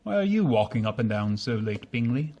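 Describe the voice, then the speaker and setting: An actor playing a haughty, reserved gentleman, formal and suave, in 1940s radio drama style. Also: medium pitch, sing-song, fairly quick. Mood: pensive.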